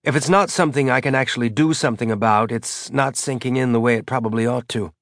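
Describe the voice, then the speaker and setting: A man's voice: medium pitch, fast talker, a bit bright, dry recording, studio quality, lightly acted. A man voicing a weary ship captain, distracted and stretched thin. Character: tired, harried male ship captain.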